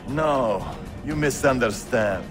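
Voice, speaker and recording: An actor playing a scornful male traitor in a video game cutscene, speaking deliberately and with contempt. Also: mid-range voice, animated, music in the background. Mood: disdainful. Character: scornful male traitor gloating over a hostage.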